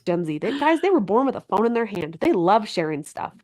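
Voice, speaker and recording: A woman's voice: medium pitch, very animated, brisk, darkish tone. A woman with a head cold leads a webinar. She coaches her audience in an upbeat, motivational way and speaks to them directly.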